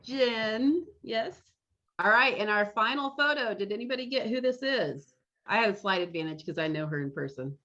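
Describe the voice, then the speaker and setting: A woman's voice: medium pitch, expressive, moderate pace, bright, forceful tone. A woman hosting a casual group game over a video call. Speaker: game host. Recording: muffled video call audio.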